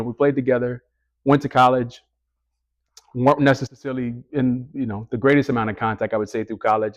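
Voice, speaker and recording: A man's voice: fairly low pitch, lo-fi sound. A man in his thirties hosting a casual interview podcast, chatty and enthusiastic. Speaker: podcast host.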